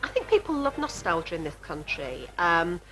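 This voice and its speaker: A woman's voice: fairly low pitch, big pitch swings, normal speed, over music, poor audio. A British woman giving casual talking-head commentary in an interview. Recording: faint background noise, VHS transfer.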